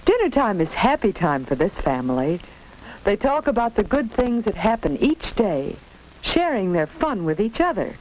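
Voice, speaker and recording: A woman tells a simple instructive story for children in the manner of a 1940s educational film. Her pitch moves in a very animated way. The old soundtrack is dull, with faint noise behind her. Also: moderate pace. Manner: simple, instructive, addresses the listener directly. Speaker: narrator.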